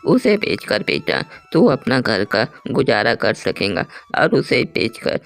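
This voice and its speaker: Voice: medium pitch, big pitch swings, unhurried, vocal fry. A performer voicing a kindly old forest woman in a Hindi cartoon moral story, grateful and full of blessings. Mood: benevolent. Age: older. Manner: grateful, blessing, addressing listener as child.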